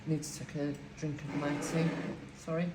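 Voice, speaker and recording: Low-voiced woman with broad Lancashire accent. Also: level tone, normal speed. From Lancashire, England. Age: older. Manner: chatty, rambling, many "um"s. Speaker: crafting vlogger. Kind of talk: casual chat while crafting. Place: home, indoors. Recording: echoey room with faint hiss.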